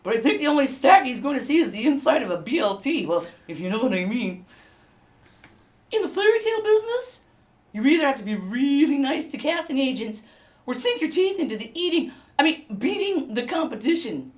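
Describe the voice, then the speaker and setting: A woman's voice: high voice, very animated, a little fast, darkish tone, distant mic. A woman playing an aggrieved, gossipy anonymous actor who insinuates about colleagues in a comedy mock interview. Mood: snippy, aggrieved. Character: anonymous gossipy insider wolf-role actor, snippy and aggrieved.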